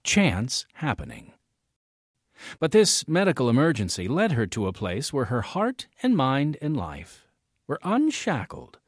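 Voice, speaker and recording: Theatrical American male announcer, animated delivery. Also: medium pitch, unhurried. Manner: theatrical, emphatic phrasing. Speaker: radio program announcer. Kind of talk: scripted dramatic narration. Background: music.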